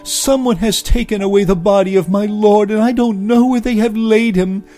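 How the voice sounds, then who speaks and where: A desperate young woman, her voice pitched up high and pleading, as a male storyteller puts her on. Soft music plays faintly under her. Her voice swings from tearful grief to ringing joy. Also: sing-song, a little slow, exaggerated voice. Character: grieving young woman, desperate then overjoyed.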